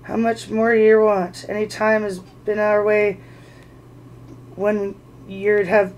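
A storyteller voicing a gruff male troll who grumbles, drunk on beer. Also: mid-range voice, flat delivery, slow, slight background noise, rough recording. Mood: grumbling.

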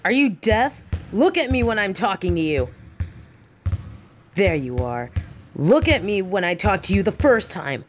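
A woman acts out a brash, possessive bully girl in an audio roleplay. She talks slowly at a middling pitch, ordering and taunting the listener. Under the bossy front she is smitten and easily flustered, so her phrasing comes out halting. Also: sing-song, faint music behind.

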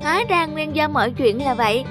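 Curious young cartoon schoolboy, female performer, high pitch, eager, music bed. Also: animated, a little fast. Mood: amazed. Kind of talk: scripted cartoon dubbing. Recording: children's music under the voice.

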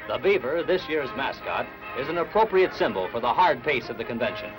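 A man's voice: medium pitch, lively intonation, rough recording. Male 1960s newsreel narrator over music. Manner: measured, formal announcer delivery. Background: background music.

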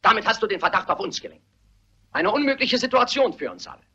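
High-pitched, agitated, scolding man. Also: lively intonation, fast, sharp tone, breathy edge, full character voice. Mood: angry, scolding. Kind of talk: German radio mystery play acting. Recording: muffled radio play recording.

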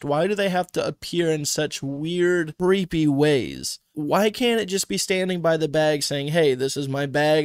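A man's voice: on the high side, smooth, no echo. A young man hosting a casual reaction show from a home studio, sounding congested from being sick, chatty and skeptical. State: congested from illness. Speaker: host reacting to creepy videos. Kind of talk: casual commentary. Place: home streaming room. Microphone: studio mic on boom arm.

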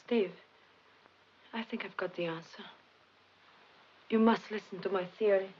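An actress playing a devoted, earnest female scientist in a 1950s film drama, speaking emphatically with dramatic pauses. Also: medium pitch, steady tone, a little mellow, slightly rough. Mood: urgent, reasoning. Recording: muffled 1950s film soundtrack, faint music.

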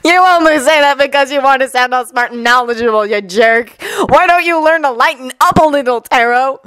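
Woman voicing a brash, high-pitched teenage boy prankster. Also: expressive, unhurried, fairly bright tone, no room sound. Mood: irritated. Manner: teasing, cocky.

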